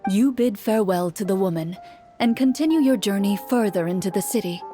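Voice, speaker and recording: A woman's voice: mid-range voice, animated, a little fast, warm tone, faint music behind. A woman narrating a game story in the second person, reading it aloud steadily.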